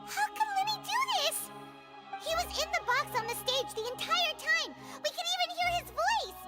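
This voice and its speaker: A tiny, childlike game sidekick, squeaky and very high. She swoops wildly from note to note with excitable, exclamatory delivery, over loud music. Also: normal speed, big-room sound. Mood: indignant. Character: small childlike high-pitched fairy companion girl, excitable and loyal. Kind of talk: video game voice acting. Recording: music playing behind.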